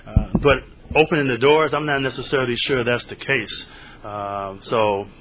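A man's voice: mid-range voice, moderate pace, husky. A man in his eighties, a panelist and teacher, speaking unhurriedly and thoughtfully with many fillers in a panel discussion. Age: 80s. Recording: slightly dull lo-fi recording.

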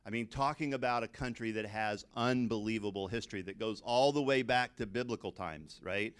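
Middle aged american guy giving a talk, teacher telling his own stories, clean smooth voice, chatty with little asides. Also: on the low side, a little fast. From suburban United States. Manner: conversational, with frequent asides and check-in questions. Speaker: English language teacher. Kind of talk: personal storytelling in a talk to an audience.

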